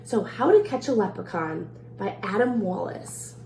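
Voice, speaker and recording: A woman's voice: mid-range voice, lively intonation, moderate pace, gravelly, darkish tone. A woman presenting a children's storytime as a museum staff member, recorded in a roomy space. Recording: roomy echo, faint background noise.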